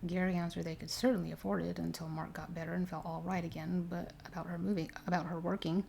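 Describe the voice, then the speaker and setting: A woman reading a story aloud in ASMR style, soft-spoken and drifting into whispers. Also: lowish voice, little pitch movement, quick pace, creaky, slight background noise. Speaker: reader of paranormal stories for ASMR.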